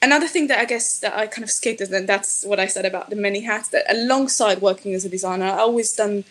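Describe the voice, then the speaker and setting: A middle-aged woman from Israel whose first language is Hebrew, her voice sitting in an ordinary middle pitch. She answers interview questions thoughtfully, pausing with fillers and restarting her sentences as she works out what she wants to say. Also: a bit bright. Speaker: podcast guest, fashion designer. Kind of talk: conversational interview.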